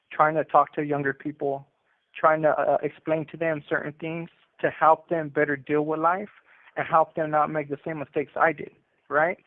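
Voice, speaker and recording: A man's voice: a little high, no echo. A man on a prison phone call, reflecting on his life in an earnest, conversational storytelling way. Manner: earnest, conversational, often tagging with "you know" and "right". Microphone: telephone handset. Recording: tinny narrow phone line.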